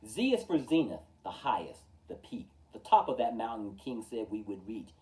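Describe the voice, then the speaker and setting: Expressive male storyteller, very animated pitch. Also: moderate pace. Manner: rhythmic, emphatic delivery of rhyming verse. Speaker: storytime reader. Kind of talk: expressive children's picture-book read-aloud. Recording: distant from the mic.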